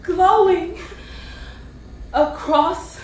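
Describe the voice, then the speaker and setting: Actress as a high-voiced wronged woman, echoey stage. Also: exaggerated voice. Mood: anguished, awed. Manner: dramatic, emphatic, drawn-out delivery with long pauses. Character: wronged, fiery woman confessing a best friend's secret betrayal. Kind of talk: theatrical stage monologue with singing. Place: stage in a theater hall. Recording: distant, echoey, noisy stage-play recording.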